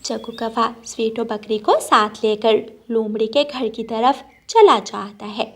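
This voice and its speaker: A woman's voice: on the high side, fairly even intonation, a little slow. A woman narrator reading a scripted moral story for children.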